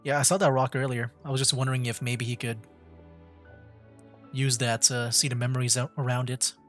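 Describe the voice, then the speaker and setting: A man gives casual live game commentary in a mid-pitched voice. He is chatty and thinks aloud as he goes. Faint music plays in the background. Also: level tone, brisk, fairly bright tone. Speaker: gaming streamer.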